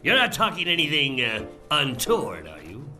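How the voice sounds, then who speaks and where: A man's voice: fairly high pitch, huge pitch swings, moderate pace, sharp tone, recorded from a distance, soft background music, heavily acted. A man playing an indignant, exasperated big-city mayor in a comedic audio drama. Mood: wary. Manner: exasperated, theatrical.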